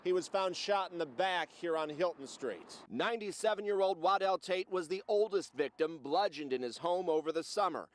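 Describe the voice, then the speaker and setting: A man's voice: high voice, moderate pace. A man reporting live for a television newscast, in a scripted news delivery.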